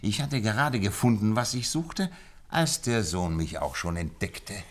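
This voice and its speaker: A male actor playing a shrewd, self-assured gentleman detective in a German radio drama, setting things out eloquently and methodically. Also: lowish voice, sing-song, a little slow. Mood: animated recounting. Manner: eloquent, methodical explaining with theatrical emphasis.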